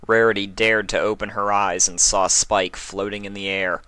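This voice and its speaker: A man's voice: lowish voice, little pitch movement, normal speed, bright, forceful tone, smooth, no echo. A man speaking as a reader of fan fiction stories. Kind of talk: storybook narration and casual sign-off.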